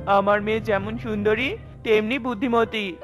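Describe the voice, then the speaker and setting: A woman's voice: mid-range voice, fairly even intonation, moderate pace, soft background music, lightly acted. A woman voicing a humble, earnest peasant parent in a cartoon, speaking respectfully and entreatingly. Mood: earnest, pleading. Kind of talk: dramatic cartoon voice acting.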